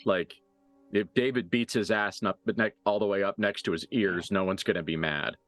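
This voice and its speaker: A man's voice: on the low side, moderate pace, fairly bright tone, dry recording, soft background music. A man acting as game master, improvising narration for a tabletop roleplaying game in a casual, mildly profane way.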